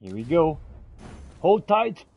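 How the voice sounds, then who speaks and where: A man's voice: a little high, big pitch swings, slow, vocal fry, a little mellow, slightly rough, lo-fi sound. Man doing in-character gameplay commentary. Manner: talks to game characters as companions. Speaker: gamer playing a fantasy role-playing game.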